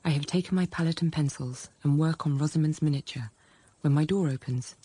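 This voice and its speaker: An actress playing a frank, self-possessed young schoolteacher in a period radio drama, narrating quietly and thoughtfully. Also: female voice, low-pitched, a little fast, breathy edge, dry recording. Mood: reflective. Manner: quiet, composed, literate storytelling.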